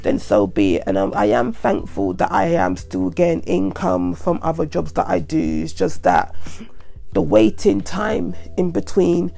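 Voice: mid-range voice, very animated, measured pace, gravelly, dark tone, soft background music. A young adult podcaster with a stuffy head cold, rambling casually and repeating themselves. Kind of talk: casual solo monologue.

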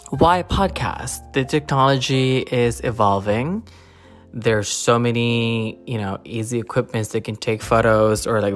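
A podcast host talks solo in a slow, informal monologue with a mid-range pitch. The delivery is hesitant and rambling, unscripted throughout, with soft music faintly present behind the voice.

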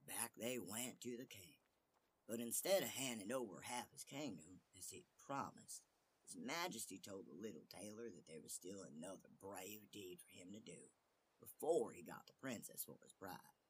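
A man narrating a fairy tale expressively, dramatic, often dropping to hushed phrase endings. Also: on the low side, lively intonation, moderate pace, husky, poor audio. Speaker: storyteller.

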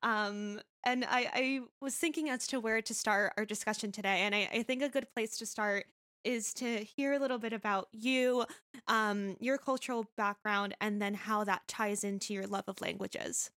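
A woman's voice: mid-range voice, steady tone, normal speed, fairly bright tone. A woman hosting a university interview podcast, speaking in a friendly way with frequent "um"s and restarts. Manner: friendly, hesitant with frequent fillers. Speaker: podcast host.

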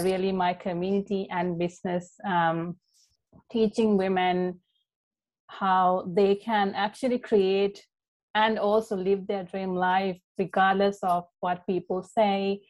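A woman's voice: mid-range voice, a little mellow, poor audio. A young woman from Sri Lanka, a life coach, talking in a casual interview with an earnest, heartfelt manner and plenty of ums and you-knows. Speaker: podcast guest, life coach.

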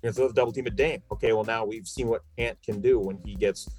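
Low-voiced man arguing basketball emphatically. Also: fairly even intonation, quick pace, a little mellow, faint music behind. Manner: emphatic, repeats phrases for stress. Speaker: sports commentator, podcast guest. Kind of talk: opinionated basketball talk.

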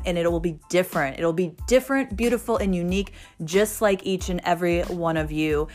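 Solo podcast host, a woman with a cold: raspy, stuffed-up, slightly low voice. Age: 20s. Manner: pauses to catch breath.

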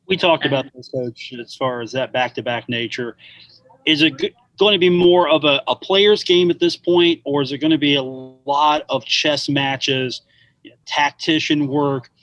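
A man's voice: fairly high pitch, a little slow, a bit bright, dull audio. A man interviewing the coach with probing follow-up questions.

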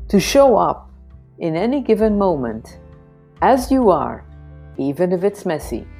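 A woman hosting a podcast, introducing and questioning in a conversational, reflective way with deliberate pauses. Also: deep voice, darkish tone, husky. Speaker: podcast host.

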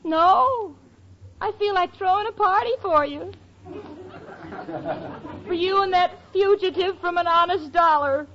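Exasperated, very high-voiced young roommate. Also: female voice, measured pace, long pauses, a faint crowd, slight background noise. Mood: sarcastic, aggrieved. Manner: dry, complaining, dramatic. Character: exasperated, sarcastic young woman, long-suffering roommate. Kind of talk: 1940s radio comedy acting. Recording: dull old radio recording with studio audience.

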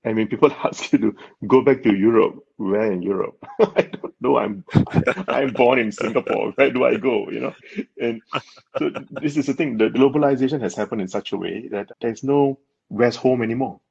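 A man's voice: mid-range voice, moderate pace, vocal fry, darkish tone, husky. A man from Malaysia, a guest in an interview, explaining in an earnest way and laughing often. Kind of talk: conversational interview. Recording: dull lo-fi sound.

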